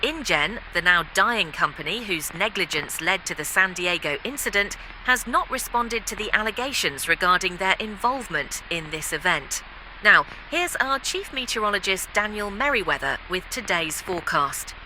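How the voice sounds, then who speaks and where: A woman's voice: medium pitch, animated, moderate pace, pushed and bright, slight background noise, rough recording. A woman playing a composed local TV news anchor, reading a scripted report. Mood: serious, measured.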